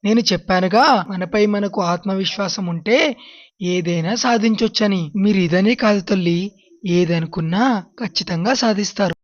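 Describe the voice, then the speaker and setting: A woman voicing a gentle, encouraging father in an animated moral story, reassuring and affectionate, in a slightly muffled recording. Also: medium pitch, normal speed, lightly acted. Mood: proud, affectionate. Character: gentle, encouraging father figure full of faith.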